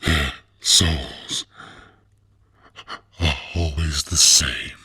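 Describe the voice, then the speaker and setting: A man playing a solemn, weary dying revenant in an audio drama, halting and wheezing as he strains to speak. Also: extremely low pitch, steady tone, pushed and bright, dry recording, clean studio sound, heavily acted. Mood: solemn, weary confession. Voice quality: gravelly, strained. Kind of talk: dramatic audio fiction acting.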